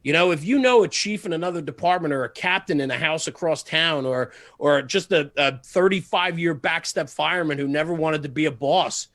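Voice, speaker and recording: A man with a slightly higher-than-average voice talks casually as an interview guest. He is earnest and emphatic, often repeating phrases for stress. The sound is fairly dry and close. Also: fairly quick, hardly any pauses, a bit bright, dry recording. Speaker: podcast guest, fire service media host. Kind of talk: casual podcast interview, passionate monologue.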